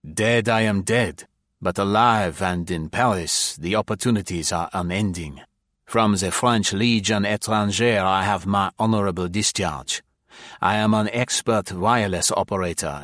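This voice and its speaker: Male reader as a proud bitter young spy, low-pitched, dry. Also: fairly bright tone, dry recording. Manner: bitter and measured.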